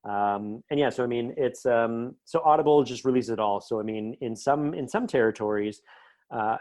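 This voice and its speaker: A man's voice: fairly low pitch, a little mellow. A man talking conversationally as an interview guest, chatty and enthusiastic, telling anecdotes about his creative work.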